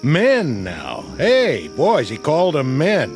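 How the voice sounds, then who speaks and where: Sardonic army sergeant, lively delivery. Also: male voice, a little high, takes it slowly, soft background music, exaggerated voice. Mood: bitter sarcasm. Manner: sarcastic, needling. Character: hard-bitten, sardonic army sergeant baiting his lieutenant. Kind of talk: radio drama acting.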